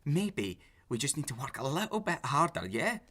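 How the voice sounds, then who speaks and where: An actor playing an obsessive, pleading, lonely lovesick man in an audio drama, halting and intense as he justifies himself. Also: mid-range voice, sing-song, sharp tone, husky, heavily acted. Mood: desperate pleading. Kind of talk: naturalistic audio drama acting.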